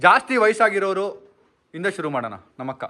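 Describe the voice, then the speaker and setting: A man hosting a casual family vlog, excitable and playful, often repeating himself as he gives instructions. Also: sits high, quick pace, very noisy. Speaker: vlog host organizing a family challenge. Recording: chatter of other people behind.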